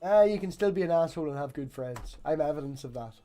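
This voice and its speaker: A man's voice: fairly high pitch, animated, fast, mellow, soft background music. A man hosting a casual discussion in a jokey, informal way. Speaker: discussion host.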